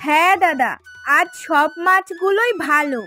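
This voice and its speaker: A woman's voice: very high voice, measured pace, bright, forceful tone, faint music behind, heavily acted. A woman voicing a chatty, eager village fishwife with a coaxing, folksy manner in a fairy tale cartoon. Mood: coaxing, friendly.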